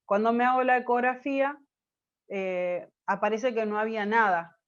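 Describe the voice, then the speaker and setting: A woman from Argentina with an Argentine Spanish accent, telling a personal story as an interview guest, pausing often with fillers and restarts. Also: a little high, fairly even intonation, muffled recording. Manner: hesitant, many fillers and restarts. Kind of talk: personal testimony in conversation.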